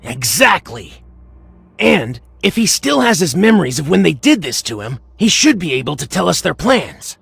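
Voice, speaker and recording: A very husky young hero from a video game, earnest and resolute. The voice crackles, with lively pitch, recorded dry. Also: male voice, normal speed, no room sound, full character voice. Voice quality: husky, gravelly edge. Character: earnest young male warrior, dutiful and resolute.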